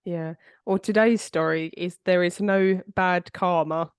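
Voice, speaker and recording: Woman host, stuffy and congested, rambling casual delivery, dry close mic. Good for relaxed true-crime chat. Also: fairly low pitch, measured pace, smooth, no room sound. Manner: chatty, rambling, with frequent fillers. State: congested, bunged-up voice. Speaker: podcast host.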